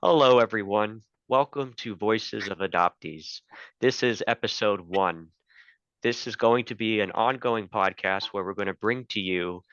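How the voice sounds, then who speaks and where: A man hosts a conversational interview podcast. His voice is fairly low, bright and forceful, with crisp articulation. The recording is dry and a little dull. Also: no room sound. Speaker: podcast host.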